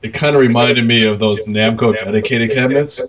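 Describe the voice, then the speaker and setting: A man's voice: medium pitch, steady tone, fairly quick, fairly bright tone, rough recording. A man chatting casually on a podcast, speaking in a blunt, colloquial way.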